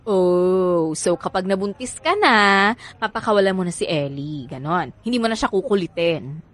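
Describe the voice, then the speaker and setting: An actress with a Filipino accent playing a sassy, teasing young best friend in an audio romantic comedy. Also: female voice, on the low side, lively intonation, normal speed. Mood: teasing, curious. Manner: slangy, exclamatory, teasing.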